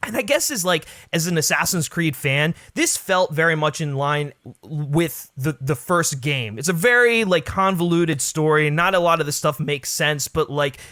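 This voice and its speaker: Bright, slightly high-voiced man on a podcast. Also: animated, normal speed, dry recording, clean studio sound. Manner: hedging, filler-heavy talk with frequent "like". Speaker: podcast guest. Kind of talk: casual opinionated film discussion.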